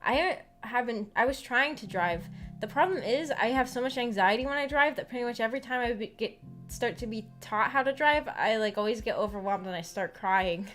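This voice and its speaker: A young adult woman livestreaming in a casual, halting, rambling chat with her viewers over background music. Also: on the high side, expressive, fairly bright tone. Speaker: streamer hosting a visual novel book club.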